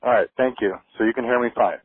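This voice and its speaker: A man's voice: medium pitch, fast, no echo. A man in a casual phone call, heard through a recorded call from a helmet headset. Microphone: motorcycle helmet Bluetooth headset.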